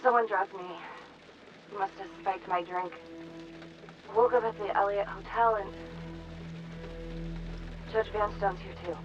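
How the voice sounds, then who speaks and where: A woman's voice: mid-range voice, steady tone, unhurried, long pauses, highs cut off, music in the background, lightly acted. An actress playing a tough but rattled female detective in a crime thriller game, urgent, blunt and naturalistic. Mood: tense, steady. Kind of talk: cinematic video game acting.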